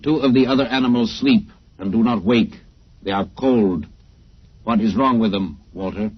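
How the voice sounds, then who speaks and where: An unfeeling, logical alien from an old sci-fi radio play. The voice is rough-edged and speaks in clipped, formal, literal statements. The recording is dull and aged. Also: male voice, on the low side, normal speed. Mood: puzzled. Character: logical alien zookeeper, seven thousand years young, literal and unfeeling.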